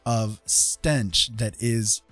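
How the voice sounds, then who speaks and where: An American man casually telling a rambling personal story as a livestream host, animated and repeating phrases for emphasis. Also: fairly low pitch, very slow, no echo, soft background music.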